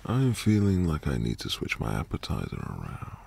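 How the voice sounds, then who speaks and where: Man acting as teasing dominant boyfriend, ASMR roleplay, low voice, heavy vocal fry, breathy murmurs, intimate hums. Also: huge pitch swings, normal speed, a little mellow, a little hiss or hum, heavily acted. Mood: playful, teasing. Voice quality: breathy, gravelly murmur often dropping to whisper. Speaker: audio roleplay performer.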